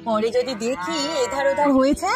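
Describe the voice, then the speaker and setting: A woman's voice: sits high, moderate pace, over music, lightly acted. A woman voicing a nagging, bossy, money-minded village wife in a cartoon, with a scolding manner. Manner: scolding, complaining. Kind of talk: Bengali cartoon story dialogue.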